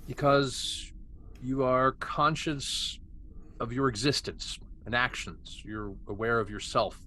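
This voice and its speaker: A man's voice: medium pitch, fairly even intonation, slow pace, bright, forceful tone. A man reading the part of a stubborn, self-assured scientist giving curt testimony as a hostile witness in a table read. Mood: grudging. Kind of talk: amateur script table read. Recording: clear background noise, room ambience.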